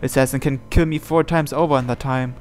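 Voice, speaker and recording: A man's voice: mid-range voice, steady tone, fairly quick, a little mellow. A man reading visual novel narration aloud in a dramatic way. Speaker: gaming channel host. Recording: game music behind the voice. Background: background music from the game.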